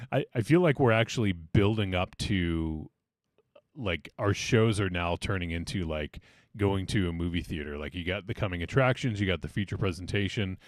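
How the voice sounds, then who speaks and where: A man hosting a podcast in a relaxed, joking way, tossing in casual swearing. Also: deep voice, no echo, clean studio sound. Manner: laid-back, jokey, swears casually. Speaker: podcast host.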